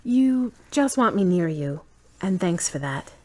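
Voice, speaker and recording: Actress as guarded independent daughter in a radio play, lowish voice, dry and measured. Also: female voice, expressive. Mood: dry, affectionate. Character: wry, guarded adult daughter, thoughtful and independent. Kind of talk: audio drama acting.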